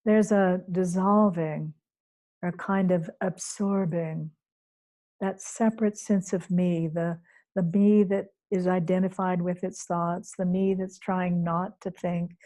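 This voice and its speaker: A woman teacher leading a guided meditation in a soothing, unhurried way, leaving long pauses between phrases. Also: on the low side, steady tone, warm tone. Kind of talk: guided meditation, spoken off the cuff to a group.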